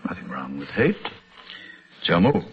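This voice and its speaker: Husky, gloating radio villain. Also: male voice, low-pitched, sing-song, normal speed, full character voice. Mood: smug, contemptuous. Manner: mocking, theatrical, precise formal diction. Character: sadistic, gloating, pompous chess-obsessed male villain. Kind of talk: old-time radio drama acting. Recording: muffled old radio recording with music.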